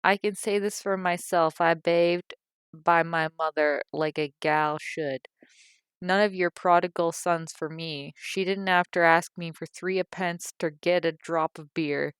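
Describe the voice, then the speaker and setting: A woman's voice: fairly even intonation, moderate pace, clean tone, no echo, close to an everyday voice. A woman voicing a cantankerous, self-pitying old working-class mother with a Cockney accent, complaining and scolding. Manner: complaining, shrill when angry.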